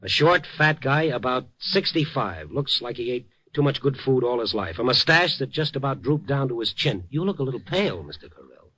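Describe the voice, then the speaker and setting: Wry, tough radio reporter, medium-pitched. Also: male voice, animated, a little fast, hardly any pauses, pushed and bright, low-quality recording. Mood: insistent. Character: tough, wry, incredulous newspaper reporter narrating his own case. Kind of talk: 1950s radio drama, first-person narration and dialogue.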